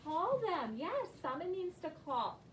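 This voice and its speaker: High-pitched woman teacher in a roomy, noisy space. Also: very animated, unhurried. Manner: questioning, repeats and affirms answers. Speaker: primary school teacher. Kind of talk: interactive read-aloud lesson with children. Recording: roomy, noisy, distant classroom microphone.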